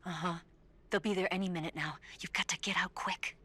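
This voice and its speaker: An actress playing a cool female spy in a video game, speaking in a terse, secretive, often hushed way. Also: lowish voice, steady tone, fairly quick, husky. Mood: grave, urgent. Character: cool, urgent female spy guiding an escaping prisoner. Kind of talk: dramatic video game voice acting. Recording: music behind the voice.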